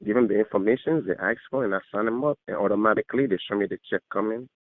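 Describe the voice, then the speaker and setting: A man's voice: fairly low pitch, racing, no room sound. A man talking earnestly on the telephone in a rambling, repetitive way with nonstandard grammar, over a tinny narrow line. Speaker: call recipient, student. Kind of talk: earnest phone conversation.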